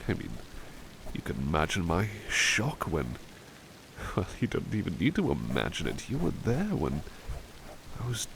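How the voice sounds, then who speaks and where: A man playing a relaxed, polite, old-fashioned butler in a soft-spoken ASMR roleplay, musing hesitantly in formal wording. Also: on the low side, creaky, slight background noise, heavily acted, poor audio.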